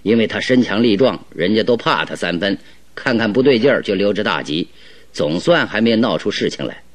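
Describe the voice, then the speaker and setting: A man's voice: lowish voice, animated, a little fast. A man acting a shrewd, self-assured detective in a radio drama, reasoning aloud in an expansive, conversational way. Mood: reasoning, animated.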